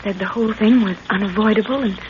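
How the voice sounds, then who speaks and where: A plucky young daughter played by a woman in an old radio adventure. Her mid-pitched voice is earnest and a little hesitant. The old recording is muffled and clearly noisy. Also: quick pace, lightly acted. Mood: relieved, earnest. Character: frightened but plucky young daughter, recently captured.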